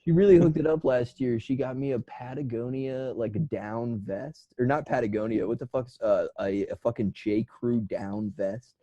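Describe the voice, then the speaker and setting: A man's voice: medium pitch, moderate pace, hardly any pauses, dark tone, dry recording, highs cut off. A man with an American accent in casual comedic podcast chat, joking, laughing often and swearing freely. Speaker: podcast co-host.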